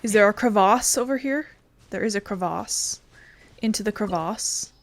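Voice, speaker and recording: A woman's voice: mid-range voice, moderate pace, no room sound. A woman doing casual gameplay commentary, reading dialogue aloud and dropping in sweary asides. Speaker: game streamer.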